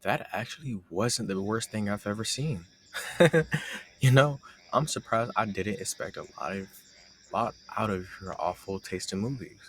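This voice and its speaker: A man's voice: on the low side, normal speed, slight background noise, close to an everyday voice, low-quality recording. A young man playing a playful, teasing roommate with a hidden crush, chatting casually and sarcastically to the listener in an intimate roleplay audio. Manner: teasing, conversational, sarcastic banter.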